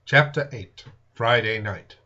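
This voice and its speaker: A man narrating a classic novel in a theatrical, expressive storytelling style with deliberate emphasis. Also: on the low side, dull audio. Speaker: audiobook reader and show host.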